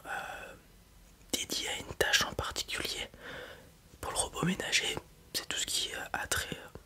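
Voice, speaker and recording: A person doing a softly whispered, hesitant and unhurried ASMR roleplay as a salesperson. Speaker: ASMR roleplayer acting as a wandering space robot salesperson.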